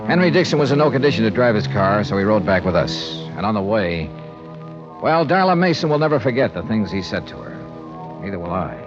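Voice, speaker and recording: Tough radio investigator, lively pitch. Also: male voice, a little fast. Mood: grim, matter-of-fact. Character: brisk, tough male freelance insurance investigator. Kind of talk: 1950s radio drama acting, hardboiled narration. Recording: dull old radio transcription, music behind.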